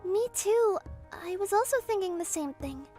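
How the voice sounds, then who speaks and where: A woman playing an emotional young woman in a manga dub, speaking in an expressive, heartfelt way. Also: very high-pitched, moderate pace, soft background music. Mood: happy, touched. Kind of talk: manga dub character acting.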